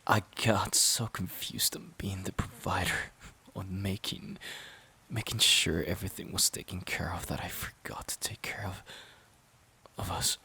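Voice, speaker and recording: A man's voice: low-pitched, steady tone, measured pace, vocal fry, pushed and bright, slightly rough, whispered, full character voice. A man playing a wounded, devoted boyfriend who pleads hesitantly and earnestly in an intimate audio drama. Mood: regretful, vulnerable. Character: wounded, devoted boyfriend desperate to save relationship.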